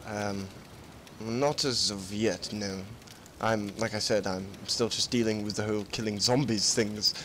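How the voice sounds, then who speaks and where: A man's voice: fairly low pitch, fairly even intonation, measured pace, fairly bright tone, slight background noise. A man playing a mild, polite documentary filmmaker in a game roleplay, hesitant and stammering. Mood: hesitant, uneasy. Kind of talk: improvised video game roleplay.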